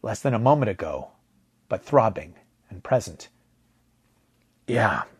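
A man narrating a science fiction story in the first person, with a measured, dramatic delivery. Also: fairly low pitch, big pitch swings, darkish tone, breathy edge, no echo.

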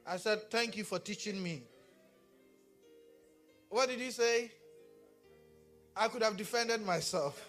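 A man's voice: sits high, takes it slowly, pauses often, faint music behind. A man from Ghana with a Ghanaian accent, preaching a sermon and talking back and forth with his congregation. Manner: animated, talks with the congregation. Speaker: pastor.

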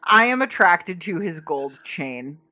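A woman's voice: fairly low pitch, very animated, a little slow, bright, forceful tone, no room sound. A young woman co-hosting a podcast, talking casually with hesitant, stammering restarts. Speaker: podcast co-host. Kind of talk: casual chat. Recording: slightly muffled lo-fi audio.

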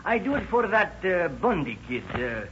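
An actor playing a genial, puzzled immigrant cobbler with an Italian accent, hesitant and exclamatory in broken English, in 1930s radio drama style. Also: male voice, sits high, big pitch swings, normal speed. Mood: friendly, puzzled. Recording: dull, noisy old radio recording.

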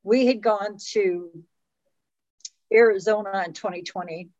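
A woman's voice: medium pitch, unhurried, darkish tone, no echo, muffled recording. A woman in her late fifties hosting a casual health interview in a chatty, conversational way, often saying "you know". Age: late 50s. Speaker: host.

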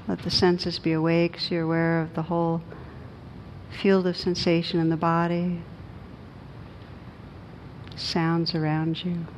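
A woman meditation teacher leading a guided meditation in a gentle, soothing, unhurried manner with long pauses. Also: flat delivery. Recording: noisy recording with low rumble.

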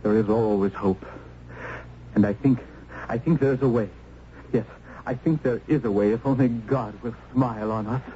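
Male, mellow, actor playing compassionate young rescuer, hesitant, intense, old radio drama, dull sound with faint noise. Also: medium pitch, normal speed, close to an everyday voice. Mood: tender, hopeful. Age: young adult. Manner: hesitant, intense, breaks off and repeats. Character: tortured, compassionate young man, earnest would-be rescuer. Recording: muffled, faintly noisy old radio recording.

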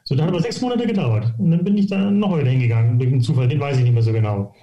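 A man in his sixties from near Hamburg, a golf coach telling lively, self-ironic anecdotes as a podcast guest. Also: medium pitch, rapid-fire, lo-fi sound. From northern Germany, near Hamburg. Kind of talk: casual interview storytelling. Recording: roomy echo.

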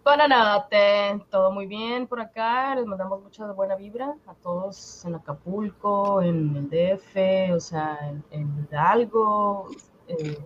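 A woman's voice: mid-range voice, a little slow. Woman chatting casually, dull noisy audio. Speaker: co-host. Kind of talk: casual chat. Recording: dull lo-fi sound, background noise.

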